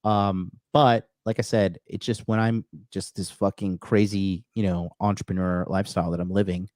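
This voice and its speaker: A man's voice: sits low, little pitch movement, moderate pace, a little mellow, no echo, studio quality. Brash, profane man in his thirties. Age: 30s. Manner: brash, profane, rambling with many fillers. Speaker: podcast host. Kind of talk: casual livestream chat.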